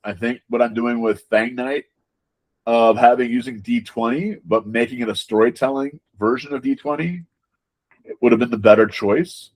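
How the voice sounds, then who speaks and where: A man's voice: medium pitch, moderate pace, poor audio. A man giving conversational interview answers as a game designer, emphatic, repeating words and often checking in with rhetorical questions.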